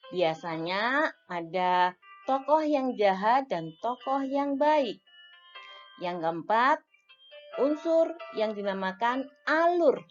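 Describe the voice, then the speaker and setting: A woman teacher explaining a lesson to young schoolchildren, counting off points one by one in a clear, deliberate way. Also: a little high. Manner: slow, clear teacherly explaining with list-like enumeration. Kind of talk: recorded classroom lesson for young children. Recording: dull lo-fi audio with faint music.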